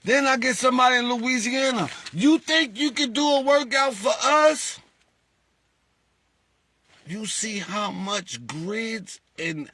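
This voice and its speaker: Animated rhetorical man, bright voice. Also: high voice. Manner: animated, rhetorical, acts out callers. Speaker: lottery group leader. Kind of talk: casual monologue to followers.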